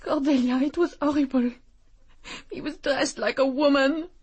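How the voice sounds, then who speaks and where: A woman playing a sensitive, fragile rich young woman in a British radio drama, emotional and breathy. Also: high voice, steady tone, normal speed, a little mellow, lightly acted. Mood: distressed. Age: young adult.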